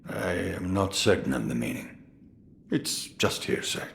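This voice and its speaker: Game role: jovial old samurai grandfather, low voice, big swings in intonation, sly and theatrical. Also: expressive, creaky, a bit bright, heavily acted. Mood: grave musing. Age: older. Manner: theatrical, amused, drawn-out musing delivery. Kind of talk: dramatic video game voice acting.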